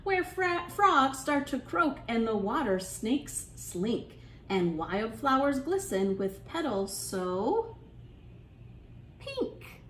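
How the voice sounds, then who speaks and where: A woman hosting an interactive children's storytime, speaking in an encouraging, sing-song way and pausing with questions for young listeners. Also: fairly high pitch, very animated, fairly bright tone, reverberant, slight background noise. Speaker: children's storytime librarian and host. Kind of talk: interactive children's storytime, reading aloud and leading songs.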